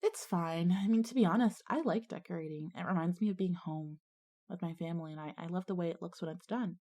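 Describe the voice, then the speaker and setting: A woman's voice: fairly low pitch, brisk, dark tone, lightly acted. A woman playing a hardworking, put-upon schoolgirl in a scripted audio drama, plainspoken and wry with some exasperation. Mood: sincere. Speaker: character and first-person narrator.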